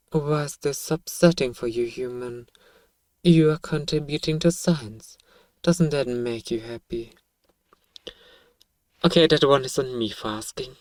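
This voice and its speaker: A performer voicing an alien researcher in an ASMR roleplay, speaking in formal, measured scientific phrasing with a coaxing, baffled manner. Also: medium pitch, darkish tone, dry recording, far from the mic, close to an everyday voice. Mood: puzzled, clinical. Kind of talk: ASMR audio roleplay monologue.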